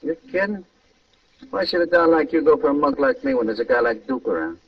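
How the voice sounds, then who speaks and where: A man's voice: on the high side, sing-song, brisk, vocal fry, exaggerated voice. An actor playing a slangy, scheming small-time American gangster and ladies' man in an old-time radio crime drama, wheedling in tough street talk. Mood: gruff, flattered. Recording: muffled old radio recording, distant mic.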